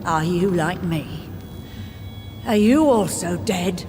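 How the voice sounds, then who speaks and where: A woman's voice: on the low side, lively intonation, slow pace, noisy background. An actress playing a frail, bitter undead woman in a video game, taunting and savouring her words with sinister glee. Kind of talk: dramatic video game acting. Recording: long hall reverb, loud music behind.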